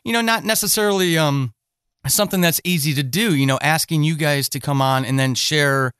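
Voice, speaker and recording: A man's voice: a little high, brisk, a bit bright, smooth, dry recording, studio quality. A man hosting a podcast interview in a casual, earnest and encouraging way.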